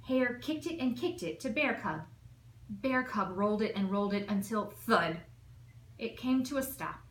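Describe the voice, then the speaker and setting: A woman's voice: mid-range voice, lively intonation, a little slow. A woman narrating a children's storybook with emphatic, rhythmic storytelling. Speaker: assistant principal reading aloud. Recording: echoey room with faint noise.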